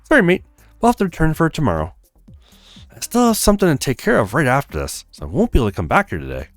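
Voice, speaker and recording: A man's voice: on the high side, huge pitch swings, quick pace, no room sound, soft background music. A man voicing a courteous, easygoing handywoman in her twenties for a visual novel game. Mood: helpful, brisk. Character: friendly, polite young handywoman of twenty-four.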